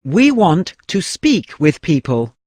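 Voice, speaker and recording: A speaker slowly repeating translated phrases in a language lesson. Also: medium pitch, very animated, a little mellow, no echo. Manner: measured, deliberate repetition. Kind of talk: slow lesson repetition.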